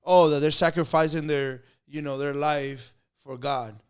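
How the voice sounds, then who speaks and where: A young man with a fairly high, smooth voice chats in an animated, slangy way. He keeps chiming in with quick agreement and jokes. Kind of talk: casual chat.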